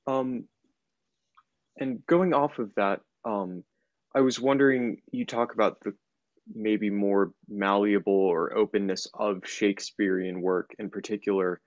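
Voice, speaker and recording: A man in his twenties asking careful, formally worded interview questions in a halting way over a video call. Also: on the low side, steady tone, no echo, highs cut off. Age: 20s. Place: home room indoors. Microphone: computer mic on video call.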